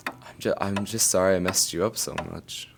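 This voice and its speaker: Man playing low-voiced, apologetic teenage boy. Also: steady tone, moderate pace, vocal fry. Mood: regretful. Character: apologetic, lovesick young male high schooler Lincoln. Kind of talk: audio drama acting. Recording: noisy, hissy dialogue recording.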